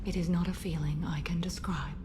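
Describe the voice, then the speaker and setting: A woman's voice: fairly low pitch, steady tone, brisk, background noise, lightly acted. A woman playing a stern, sorrowful, centuries-old alien warrior mother in a video game, speaking in a solemn, formal way. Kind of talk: video game character acting. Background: game music.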